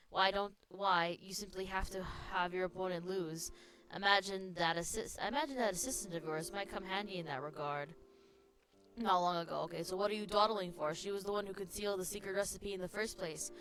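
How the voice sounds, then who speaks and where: A woman with a slightly low voice streams a video game. She chats casually and reads game text aloud, with music clearly audible behind her. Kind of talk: casual live game commentary and reading aloud.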